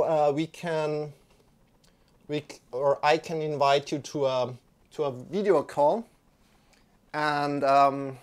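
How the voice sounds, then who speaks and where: A man's voice: on the high side, darkish tone. German man chatting casually, restarting often. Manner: rambling, friendly, restarts often. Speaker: yoga teacher on a livestream. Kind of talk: casual chat with live viewers. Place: indoor room, sitting on a yoga mat.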